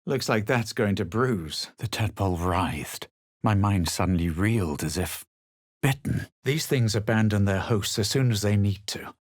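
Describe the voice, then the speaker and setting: A haughty fantasy game hero with a low, slightly husky voice. He speaks with measured drama and proud superiority, in a dry, close recording. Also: expressive, no room sound. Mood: wary, superior. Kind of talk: fantasy video game voice lines, narration and incantations.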